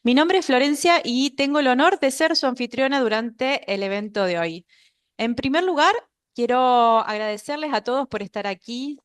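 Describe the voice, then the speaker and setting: A woman presents an online event as its host. Her voice sits a little high and is very clean and smooth. She speaks politely and formally, following a prepared introduction. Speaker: webinar host.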